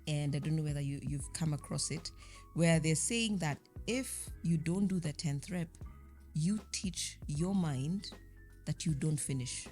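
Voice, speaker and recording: A low-voiced woman speaks as a podcast guest in a reflective interview. She explains her points emphatically, often with rhetorical questions and illustrations. Music plays behind her. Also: measured pace, a little mellow. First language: French. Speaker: podcast guest, insurance chief executive.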